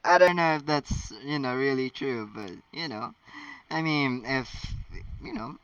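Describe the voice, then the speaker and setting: A man's voice: medium pitch, lively intonation, unhurried, a bit bright, clean tone, no echo. Casual male fan fiction host, chatty and stumbling, slightly dull recording with some mic distance. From Philippines. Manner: rambling, stumbles and corrects himself. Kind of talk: casual chatty asides while reading stories.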